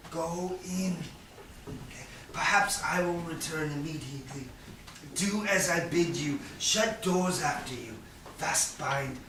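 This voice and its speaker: A man playing a stern, miserly old father in a staged Shakespeare reading, declaiming in a deliberate, scolding way. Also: fairly high pitch, far from the mic. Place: echoey indoor room at a staged reading. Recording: echoey room from afar, faint noise.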